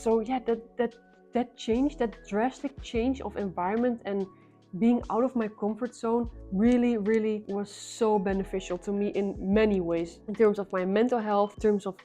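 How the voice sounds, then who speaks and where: A young woman with a Dutch accent speaks English, her second language, in a personal vlog. She sounds earnest and restarts her phrases often, and her voice is mellow at an ordinary pitch. Soft music plays quietly behind her. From Netherlands. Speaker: vlogger.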